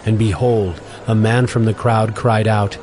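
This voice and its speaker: A man narrating scripture from a script as a measured storyteller. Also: on the low side, flat delivery, noisy background. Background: music.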